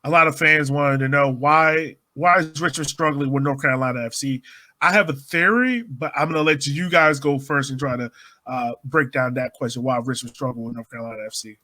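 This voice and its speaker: A man's voice: mid-range voice, fairly quick, pushed and bright. A man co-hosting a podcast, chatting casually through soccer analysis. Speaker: podcast co-host.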